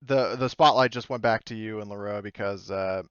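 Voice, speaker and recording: A man's voice: on the low side, fairly bright tone, clean tone, dry recording, highs cut off. A man running a tabletop game over a voice call, improvising his descriptions casually and breaking off often to rephrase. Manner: halting, frequent self-interruption. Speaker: game master. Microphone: online voice call.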